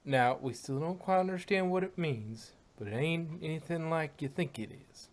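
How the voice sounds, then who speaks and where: A performer voicing a plain-spoken, steady female farm pony with a folksy, reassuring Southern US drawl. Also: medium pitch, normal speed, close to an everyday voice. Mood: firm, calming.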